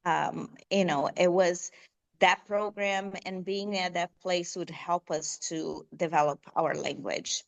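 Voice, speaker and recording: Brazilian woman, middle-aged, Portuguese native, low-pitched, unhurried, halting with fillers. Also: fairly even intonation, vocal fry, lo-fi sound. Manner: hesitant, searching for words with many fillers. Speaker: guest. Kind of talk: conversational interview.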